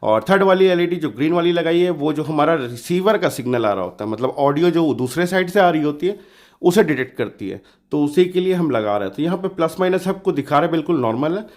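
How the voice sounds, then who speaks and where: Slightly hoarse man explaining hands-on steps. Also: on the high side, animated, fast, darkish tone. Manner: informal, explanatory, often adds reassuring check-ins. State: slightly hoarse from a sore throat. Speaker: DIY electronics tutorial host. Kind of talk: casual step-by-step tutorial narration.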